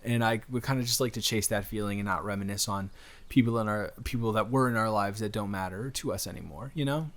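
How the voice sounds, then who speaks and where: A man's voice: fairly low pitch, fast. Earnest, rambling, friendly young-love stranger played by a man. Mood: earnest, reassuring.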